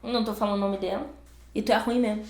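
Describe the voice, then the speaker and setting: A young Brazilian woman with a Brazilian Portuguese accent, talking straight to camera in a casual, animated vlog and acting out what other people told her. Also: mid-range voice, fast talker. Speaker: vlogger. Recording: echoey room.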